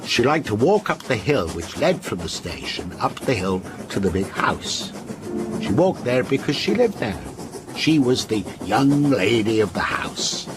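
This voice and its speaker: An actor playing a genial, faintly unsettling man who teases as he tells a ghost story in a radio drama, in a measured, coy way. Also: medium pitch, big pitch swings, background noise. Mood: wistful storytelling.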